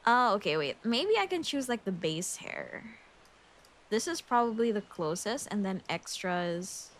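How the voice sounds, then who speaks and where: A young woman streamer with a Filipino English accent talks through her game in an unhurried, drawn-out way. She thinks aloud, chats with viewers and slips into little giggles, at an everyday middle pitch. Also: sing-song, a bit bright. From Philippines. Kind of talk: casual game livestream chat.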